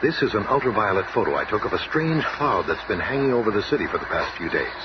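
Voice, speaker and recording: An assured scientist leader of a superhero team, explaining earnestly with lively intonation. The vintage radio drama sound is muffled and very noisy. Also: male voice, on the low side, quick pace. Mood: measured, assured. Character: calm, authoritative male scientist team leader.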